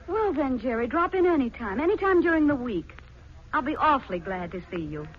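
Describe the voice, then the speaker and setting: An actress playing a sensitive, cultured married aunt who speaks earnestly and articulately in a 1940s radio drama. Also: female voice, sits high, very animated, fairly quick. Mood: kindly. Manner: earnest, articulate, impassioned phrasing. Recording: dull, noisy 1940s radio recording.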